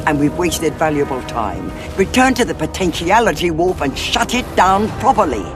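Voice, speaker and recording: A woman's voice: low-pitched, big pitch swings, normal speed, music in the background. An actress playing a cold, scheming female Time Lord cardinal in an audio drama, speaking in a commanding, cutting way.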